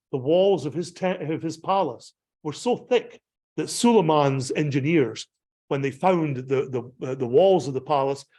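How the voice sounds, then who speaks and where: Rambling Scottish pastor, slightly high voice. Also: male voice, dark tone. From Scotland. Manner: rambling, digressive, many ums and restarts. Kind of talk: slideshow talk on a pilgrimage.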